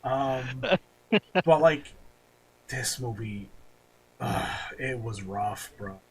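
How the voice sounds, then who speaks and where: A man's voice: medium pitch, drawn-out words, rough recording. A man hosting a podcast, chatting casually with opinions and the odd swear word. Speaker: podcast host. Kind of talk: casual opinionated film-ranking chat. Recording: clearly audible background noise.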